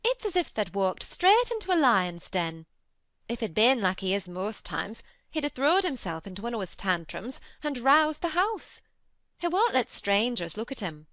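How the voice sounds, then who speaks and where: A woman voicing a flustered young Yorkshire maid, kindly and fearful, speaking broad dialect in a high, anxious voice. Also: sing-song, moderate pace, a bit bright, dry recording.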